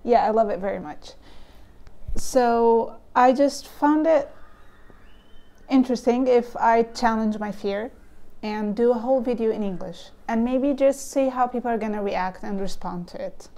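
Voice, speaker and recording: Young egyptian woman, arabic accent, chatting to camera on a lapel mic at home, slightly high mellow voice, lots of ums. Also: unhurried. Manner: nervous, thoughtful, with frequent ums and likes. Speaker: vlogger. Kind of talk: casual explainer vlog. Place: home room with bookshelves, indoors. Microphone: lapel mic, camera close.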